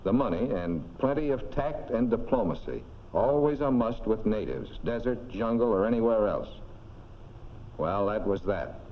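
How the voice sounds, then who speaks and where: Man narrating old army training film, lowish voice, clipped and folksy, muffled hissy track. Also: lively intonation, normal speed. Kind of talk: 1940s scripted narration. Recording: dull, noisy 1940s film soundtrack.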